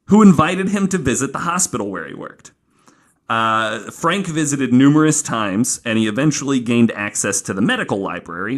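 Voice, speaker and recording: A man hosting a podcast, telling a true-crime story casually and informally from notes, with some swearing. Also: mid-range voice. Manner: informal, profane, acting out quoted remarks.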